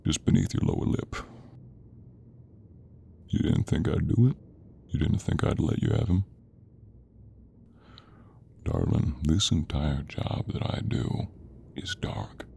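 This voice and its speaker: A man playing a gruff, stern but protective monster hunter in an audio roleplay, with a gravelly, murmuring delivery. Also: animated, slow, pauses often, mellow, heavily acted. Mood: dark, tender. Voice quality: gravelly, very low, creaky. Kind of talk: audio roleplay acting addressed to the listener.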